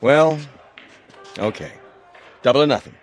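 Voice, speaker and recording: A man's voice: mid-range voice, measured pace, soft background music, natural voice. An actor playing an easygoing but wary traveling man in a radio drama, casual and skeptical in his talk.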